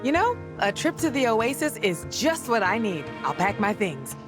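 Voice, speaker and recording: Laid-back game mom, middle aged royal, airy n swoopy, music under. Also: female voice, fairly high pitch, very animated, normal speed. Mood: breezy, fond. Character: easygoing, indulgent middle-aged royal mother. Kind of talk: video game cutscene acting.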